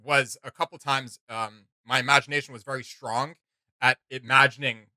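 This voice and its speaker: Bright-voiced man rambling through a casual reaction. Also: medium pitch, fairly even intonation, normal speed, no echo. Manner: rambling, thinking aloud. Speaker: reaction video host.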